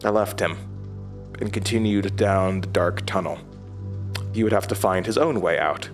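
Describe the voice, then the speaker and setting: Low, brooding man acting over music. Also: natural voice. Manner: brooding, halting, stammering. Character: frightened, introspective man narrating his horror ordeal. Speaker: narrator and lead character. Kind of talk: first-person horror audio drama narration. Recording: music under the voice. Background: prominent music.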